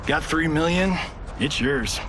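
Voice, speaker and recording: An actor playing a cocky, wisecracking Hollywood action star in a video game, with an American accent and a glib, boastful way of talking. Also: male voice, fairly high pitch, slow, noisy background. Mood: teasing, smug. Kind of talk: cinematic video game acting. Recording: music behind the voice. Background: game soundtrack music.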